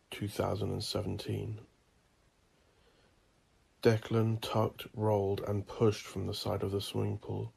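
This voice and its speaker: Gravelly, husky man narrating gothic horror. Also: fairly low pitch, a little mellow. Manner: ominous, deliberate, theatrical delivery. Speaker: narrator of a horror audiobook drama.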